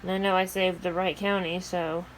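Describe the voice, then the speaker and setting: A woman's voice: lowish voice, level tone, normal speed, slight background noise, poor audio. A woman talking casually as she narrates an amateur weather vlog.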